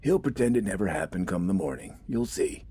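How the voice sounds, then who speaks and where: A man's voice: sits low, big pitch swings, brisk, dark tone, husky, full character voice. A man voicing a wry, weary older farmer turned militiaman, stubbornly outspoken in a folksy, grumbling way. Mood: dismissive. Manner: folksy, grumbling, plain-spoken.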